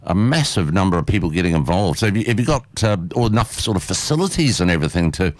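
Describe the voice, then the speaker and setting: Chatty radio host, lively pitch. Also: male voice, fast, no room sound. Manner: chatty, repeats words, animated. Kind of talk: casual radio interview. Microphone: studio mic.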